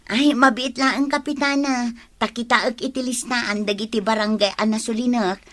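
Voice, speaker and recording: A woman's voice: fairly high pitch, fairly even intonation, moderate pace, close to an everyday voice. A woman playing a nagging wife in a radio comedy drama, scolding and questioning. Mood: brisk.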